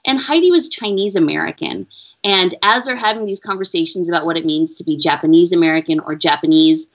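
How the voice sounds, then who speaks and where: A woman researcher answering interview questions in an earnest, measured, explaining way. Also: mid-range voice, fairly bright tone. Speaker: education researcher, interview guest. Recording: dull lo-fi audio.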